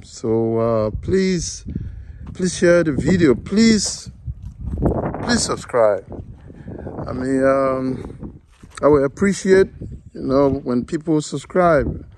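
A man talks slowly and haltingly outdoors on a walled farm plot, filming with a handheld phone. His pitch sits in the middle, and his speech is full of "uh"s. A faint hiss of outdoor noise runs underneath. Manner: halting, many fillers, pleading for subscribers. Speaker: vlogger giving a farm tour. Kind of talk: casual walkthrough narration.

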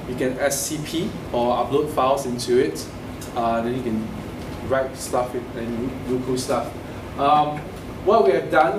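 A man in his forties giving a casual hands-on tech talk at a meetup, explaining step by step and restarting phrases as he goes. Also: mid-range voice. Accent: Singaporean English. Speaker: meetup speaker. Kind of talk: live tech talk with slides. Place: meeting room with projector. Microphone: room camera mic from a distance. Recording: echoey room, distant mic, noisy.

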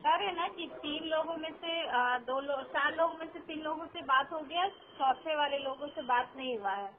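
A woman's voice: sits high, moderate pace, slight background noise. A woman working as an office phone operator, talking on a call. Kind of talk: phone conversation. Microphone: telephone. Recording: tinny narrow phone line recording.

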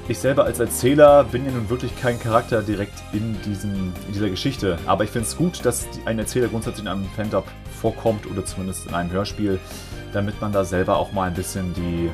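A man with a low, calm voice explains his narrating work in an informal way. He talks quickly, and music plays behind him. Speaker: fan dub narrator and video creator. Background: background music.

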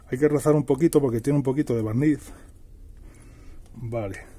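A middle-aged man narrates a practical tutorial in a casual, explanatory way. His voice sits at an average pitch and is very dark and mellow. He often restates a phrase as he works through the steps. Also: slightly rough. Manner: explanatory, repeats and rephrases while working. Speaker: DIY tutorial host.

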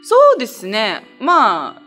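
A woman's voice: medium pitch, big pitch swings, measured pace, pushed and bright, faint music behind. A young woman from Okinawa, a guest actress, chatting casually and politely on a talk show. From Okinawa, Japan. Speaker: guest, actress promoting a stage play. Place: small indoor office studio. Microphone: tabletop microphone.

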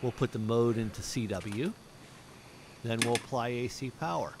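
A man's voice: medium pitch, normal speed. A middle-aged man giving a plain, matter-of-fact step-by-step demonstration as an amateur radio operator. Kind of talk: step-by-step instructional narration. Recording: clearly audible background noise.

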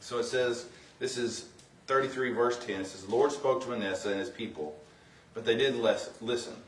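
A middle-aged man giving an informal, somewhat halting sermon as a guest preacher, mixing plain talk with scripture reading. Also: medium pitch, slight background noise. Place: church sanctuary, indoors. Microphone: far camera. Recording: roomy, distant from the microphone.

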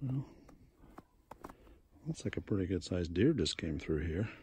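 A man's voice: sits low, lively intonation, a little slow, warm tone, slightly rough. A middle-aged man giving a casual walking tour of his farm, chatty and folksy, filmed outdoors on a handheld phone. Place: outdoors on a snowy farm and woods.

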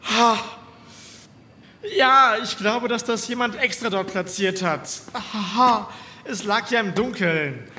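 An actor playing an anxious, sarcastic, halting man trapped in a cellar, in a horror audio drama. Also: high-pitched, pushed and bright, full character voice. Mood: pained, suspicious. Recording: echoey hall reverb, faint background noise.

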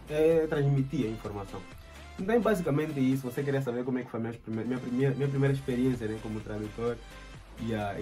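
A young man in his twenties with an Angolan Portuguese accent, the channel host, telling a story in relaxed casual chat full of slang and fillers. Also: medium pitch. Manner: relaxed, fills with "yeah" and "tipo", slang. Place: indoor room, flag backdrop.

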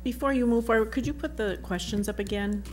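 A woman's voice: fairly high pitch, fast talker, noisy background. A woman, a school board member, asking brief, direct questions at a meeting.

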